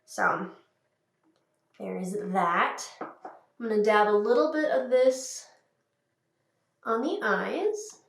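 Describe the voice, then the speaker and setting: A woman's voice: mid-range voice, drawn-out words, vocal fry, long pauses. A young woman with a stuffy cold voice, chatting upbeat through a makeup tutorial with frequent fillers. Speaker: beauty vlogger. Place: small room indoors. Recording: echoey room.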